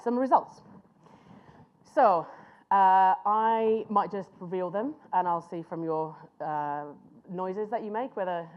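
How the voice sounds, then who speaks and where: A woman presents a master class to a live room, speaking conversationally and sometimes stopping to hunt for an English word. Her voice sits slightly low for a woman. The recording is muffled and lo-fi. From Finland. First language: Finnish. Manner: conversational, pauses to search for words. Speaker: conference speaker.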